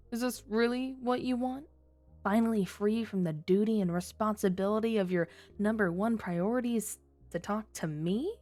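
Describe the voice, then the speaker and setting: A woman's voice: mid-range voice, darkish tone, lightly acted. A woman playing a flirty, teasing female criminal who toys with a detective in a coy, sultry ASMR roleplay. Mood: seductive, teasing. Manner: coy, sultry, playful innuendo.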